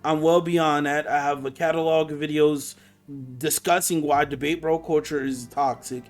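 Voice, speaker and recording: Male, twenties, higher-pitched voice, fed-up and combative live-chat host, slangy and sweary, faint music underneath. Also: steady tone, moderate pace. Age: 20s. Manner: exasperated, confrontational, slangy and sweary. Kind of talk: casual argumentative live conversation.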